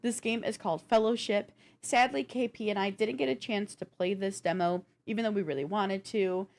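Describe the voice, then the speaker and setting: A woman's voice: mid-range voice, moderate pace. Chatty woman presenting gaming news. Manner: chatty and informal. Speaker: co-host of a gaming news show. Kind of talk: casual list-style gaming news presentation.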